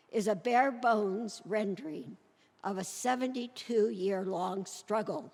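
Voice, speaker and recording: Slow-paced woman in an echoing hall. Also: medium pitch. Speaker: presenter introducing a readers theater performance. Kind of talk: scripted introduction read aloud. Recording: echoey hall with long reverb.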